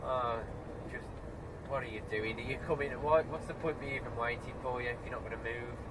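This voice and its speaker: A man's voice: mid-range voice, fairly quick. A truck driver with a stuffed-up nose from a cold, chatting casually to the camera from his cab and grumbling at other road users. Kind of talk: casual driving vlog commentary. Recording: road and engine noise in cab.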